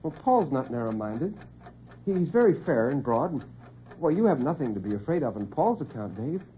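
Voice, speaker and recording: A man's voice: mid-range voice, sing-song, normal speed, slight background noise, close to an everyday voice. A man voicing a worried, confiding married woman in classic radio drama. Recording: muffled old radio recording.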